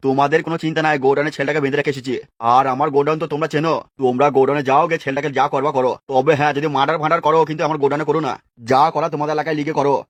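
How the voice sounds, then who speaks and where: A man acts a brash cartoon gangster, irritable and bossy. The voice is high for a man, very fast, and recorded dry and close. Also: level tone, dry recording, close to an everyday voice. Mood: irritated, threatening. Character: brash, irritable small-time gangster who threatens people. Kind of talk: amateur Bengali cartoon dubbing.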